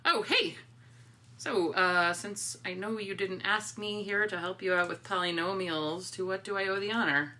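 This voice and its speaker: A woman's voice: mid-range voice, moderate pace, sharp tone, close to an everyday voice. A woman playing a sarcastic, hesitant but caring teenage girl in a dramatic comic reading. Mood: wry, awkward.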